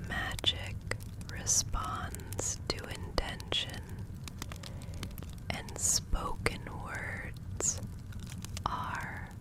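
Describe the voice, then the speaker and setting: A woman playing a serene, gentle magical law consultant in an ASMR fantasy roleplay, speaking softly in careful, measured, soothing phrases. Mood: calm, careful.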